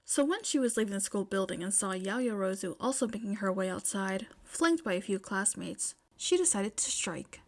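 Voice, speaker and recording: A woman's voice: mid-range voice, animated, normal speed, few pauses. A woman reading scripted fiction aloud as an amateur audiobook narrator.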